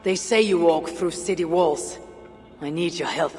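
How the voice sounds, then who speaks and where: A woman acts out a determined Norse raider woman in a game cutscene. Her voice sits low, and she talks in a blunt, measured, grave manner. Music plays underneath. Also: a little mellow, lightly acted. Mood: firm. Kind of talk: video game cutscene acting.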